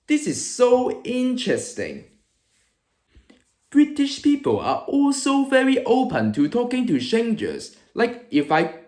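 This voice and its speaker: A man's voice: sits high, echoey room. A man in his early twenties with a Hong Kong accent, presenting an exaggerated, emphatic comedic monologue to camera as a video host. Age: early 20s.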